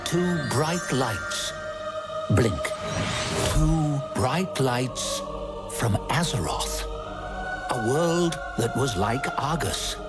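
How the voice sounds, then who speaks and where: A man narrating a story dramatically, in a deliberate, intense manner. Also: mid-range voice, animated. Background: prominent music.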